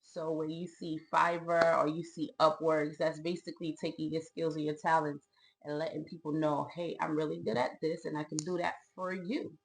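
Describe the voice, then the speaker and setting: A woman's voice: low-pitched, moderate pace, creaky, poor audio. A woman with a Haitian Brooklyn accent, co-hosting a casual chat and explaining business ideas with enthusiasm. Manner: enthusiastic, animated, talks with her hands in her words. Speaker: co-host of a business channel.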